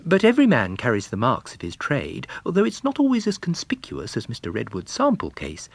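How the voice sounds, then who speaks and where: A man's voice: medium pitch, huge pitch swings, brisk, creaky, pitched above the performer's own voice, heavily acted. A man voicing a shrewd, courteous commercial traveller who explains things in a chatty, methodical way in a story reading. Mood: courteous, explaining.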